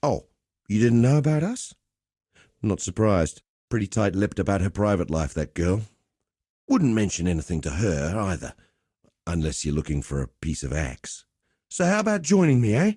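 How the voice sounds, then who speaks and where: A man's voice: fairly low pitch, sing-song, normal speed, dark tone, husky, no room sound, clean studio sound. A man playing a cocky, easygoing gladiator master in a video game, teasing with wry, conversational swagger. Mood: sly, casual. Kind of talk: video game character dialogue.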